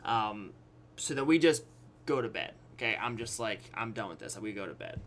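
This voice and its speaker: A young adult man telling a personal story casually to his viewers, acting out quotes, pausing for effect and often checking that listeners follow. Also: lowish voice, animated, a bit bright. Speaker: YouTube storyteller.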